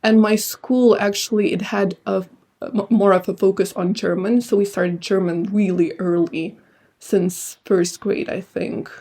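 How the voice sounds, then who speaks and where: A young woman from Lithuania, a translator, answering interview questions thoughtfully with frequent pauses and fillers. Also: mid-range voice, fairly even intonation, dark tone, husky. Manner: thoughtful, hesitant with many fillers. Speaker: translator and writer, guest.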